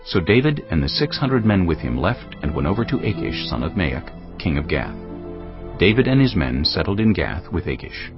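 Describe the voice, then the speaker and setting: Very deep male narrator, expressive. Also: normal speed, lo-fi sound, over music. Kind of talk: scripted dramatic scripture narration.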